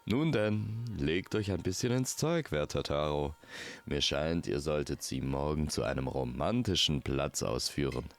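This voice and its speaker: A man's voice: extremely low pitch, lively intonation, a little slow, full character voice. A man voicing a stern, authoritative middle-aged king and father in a storybook audio tale. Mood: advising. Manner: authoritative, admonishing.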